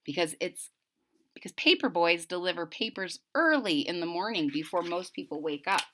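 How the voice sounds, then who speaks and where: A woman reading a picture book aloud to children in a dramatic, animated way, stopping to explain things. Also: lowish voice, moderate pace, a little hiss or hum. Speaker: children's story reader. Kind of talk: expressive read-aloud with explanatory asides to children.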